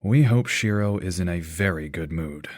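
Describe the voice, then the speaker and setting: A man's voice: deep voice, animated, moderate pace. A man playing an earnest, weary superhero team leader in an audio drama. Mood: worried.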